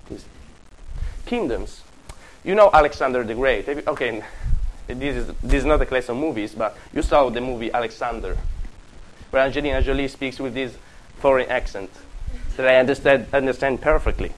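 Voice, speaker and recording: A man's voice: medium pitch, moderate pace, poor audio. A man with an Italian accent lecturing a class, digressing often, correcting himself and joking with students. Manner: digressive, self-correcting, jokey asides to students. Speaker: university lecturer.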